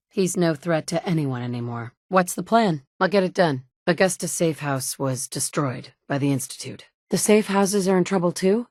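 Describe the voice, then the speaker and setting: A woman's voice: fairly low pitch, darkish tone, dry recording. A woman playing a determined mother turned hardened wasteland survivor, speaking firm, direct lines of game dialogue. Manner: firm, direct, dry and commanding. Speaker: player character voice. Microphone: studio mic, close.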